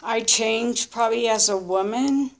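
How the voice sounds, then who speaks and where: A woman in her early fifties giving a candid, unscripted personal testimony in short, halting, reflective phrases. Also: fairly high pitch. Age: early 50s. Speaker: recovering alcoholic sharing her story.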